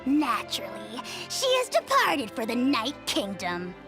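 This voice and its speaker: A woman voicing a tiny, arrogant dragon lord in a fantasy game, speaking in a pompous, grandiose, theatrical manner and quick to anger. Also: fairly high pitch, huge pitch swings, music in the background. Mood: condescending.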